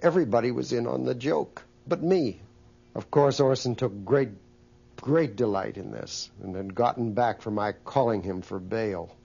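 A man's voice: medium pitch, moderate pace, creaky, mellow, husky. A man recounting anecdotes as an interviewee, in a recollecting, storytelling style. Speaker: interviewee, former radio colleague. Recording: dull, muffled interview recording.

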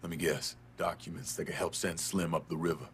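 A tough vigilante veteran as a male actor plays him in a game drama. He speaks very low, terse and dry, with determination, over noticeable background noise. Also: level tone, fairly quick, natural voice. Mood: dry, determined. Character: tough, laconic vigilante war veteran man. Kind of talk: video game cutscene acting.

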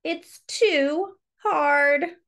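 Woman voicing a high, plaintive, anxious little boy. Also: extremely slow, a bit bright, full character voice. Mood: despairing. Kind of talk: children's storybook read-aloud.

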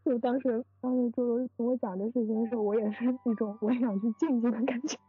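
A woman's voice: fairly high pitch, steady tone, fast talker, husky, narrow, tinny audio, faint music behind. A woman hosting a casual group chat, chatty and often prompting others with questions. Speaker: host of a drama cast discussion.

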